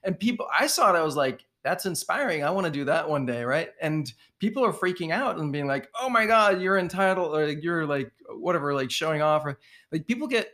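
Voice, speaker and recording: Male host from Denmark, slightly high voice, questions that stop and restart. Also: animated, sharp tone. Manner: halting, searching questions. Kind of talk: casual interview talk.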